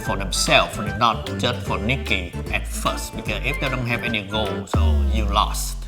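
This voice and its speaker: A man's voice: on the low side, unhurried, music in the background. Middle-aged man. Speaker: father interviewing his daughter's suitors. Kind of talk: casual unscripted interviewing.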